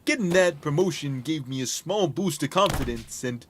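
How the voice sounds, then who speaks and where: A man's voice: a little high, lively intonation, moderate pace, background noise, close to an everyday voice. A man playing a friendly, shy office worker in a video game, earnest and slightly awkward, as if leaving a voicemail. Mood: proud, excited.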